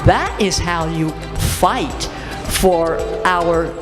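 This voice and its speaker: An older woman speaking in an animated, deliberate way. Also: on the low side, over music.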